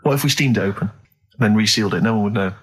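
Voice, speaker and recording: A man's voice: fairly low pitch, very fast, husky. A man co-hosting a radio show in casual, teasing banter, often goading the others. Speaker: radio co-host.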